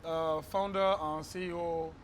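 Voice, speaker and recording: A man's voice: on the high side, a little slow, slight background noise. A man from Benin with a Beninese French accent, telling his life story as a guest. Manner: animated, acts out bus-stop calls. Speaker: guest, company founder. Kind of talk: storytelling interview.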